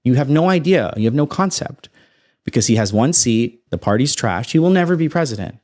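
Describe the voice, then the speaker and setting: A man's voice: medium pitch, brisk. Emphatic Toronto man telling his story. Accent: North American English. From Toronto, Canada. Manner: animated storyteller, emphatic and self-assured. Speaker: guest, space company founder. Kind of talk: casual podcast interview.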